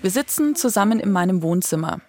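A woman's voice: mid-range voice, lively intonation, fairly quick, a loud crowd. A woman in her thirties hosting a podcast with conversational narration. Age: 30s. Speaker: podcast host.

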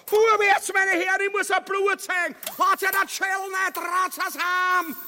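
An actor playing a crude, cowardly, pompous tyrant with a Viennese accent in a grotesque farce. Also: male voice, very high-pitched, little pitch movement, unhurried, sharp tone, slight background noise, exaggerated voice. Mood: frantic shouting. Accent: Viennese Austrian. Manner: pompous, blustering. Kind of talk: grotesque farce radio play acting. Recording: hall-like reverb.

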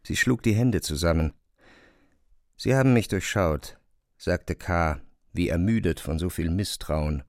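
Male narrator for literary radio play, low register, restrained and measured. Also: darkish tone.

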